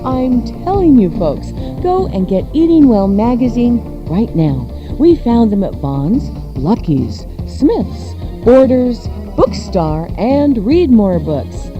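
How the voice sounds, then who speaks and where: A woman hosting a radio show and reading ads in a sultry, playful, drawn-out way. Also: fairly high pitch, sing-song, lo-fi sound. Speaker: radio show host and ad reader. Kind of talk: live-read radio ad patter. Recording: music behind the voice. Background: music.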